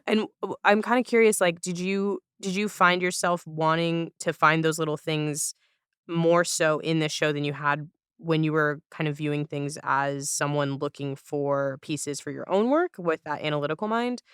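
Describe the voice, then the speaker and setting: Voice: medium pitch, fairly even intonation, moderate pace, a bit bright, clear, resonant tone, no room sound, clean studio sound. A podcast host in casual interview chat, talking in a reflective, wordy way and easing into questions.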